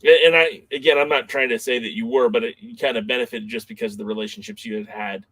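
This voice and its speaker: A man's voice: medium pitch, steady tone, fast, a bit bright, no room sound. A man co-hosting a podcast, recalling past events in a rambling, casual way with many fillers. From Southern California. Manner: rambling, filler-heavy recollection. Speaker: podcast co-host.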